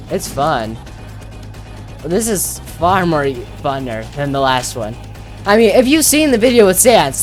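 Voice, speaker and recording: Man doing casual gameplay commentary over music. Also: on the high side. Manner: thinks aloud, reacting to the game. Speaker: gamer commentating on own playthrough.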